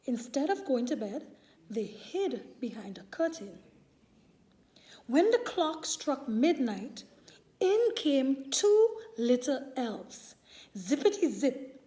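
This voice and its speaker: A woman storyteller reading a picture book aloud to young children in a deliberate, expressive way. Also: high-pitched.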